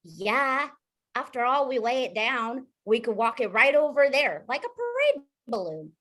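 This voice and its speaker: Woman playing an eager, high-voiced young boy scout. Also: very animated, unhurried, a bit bright, full character voice. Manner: earnest, childlike, talkative. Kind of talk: table read of an animated film script.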